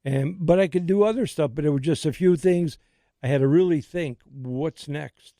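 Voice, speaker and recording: An older man hosting a radio show in casual chat, his voice slightly raspy, rambling with many restarts. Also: fairly high pitch, normal speed, mellow, no echo, clean studio sound. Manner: rambling, restarts often, laughs easily.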